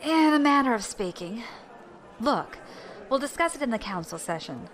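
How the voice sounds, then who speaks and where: A woman's voice: fairly high pitch, sing-song, normal speed. A woman playing a shrewd clan leader in a science fiction audio drama, with dry, casual authority. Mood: wry, guarded. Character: shrewd, wry, confident female clan leader.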